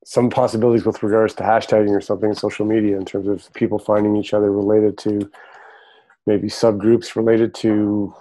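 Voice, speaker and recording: Flat, dark-voiced man. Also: on the low side, no echo, rough recording. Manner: thoughtful, measured, hedging with ums. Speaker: participant. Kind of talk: casual group discussion.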